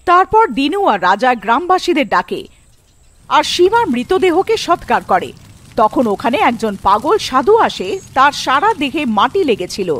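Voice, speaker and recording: A woman's voice: high voice, sing-song, moderate pace, fairly bright tone. A woman narrating a scripted cartoon fairy tale.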